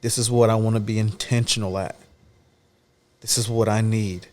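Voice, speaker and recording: A man's voice: lowish voice, little pitch movement, moderate pace, a little mellow. Emphatic man in his thirties, podcast guest. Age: 30s. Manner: emphatic, direct, repeats key phrases. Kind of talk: casual heartfelt chat.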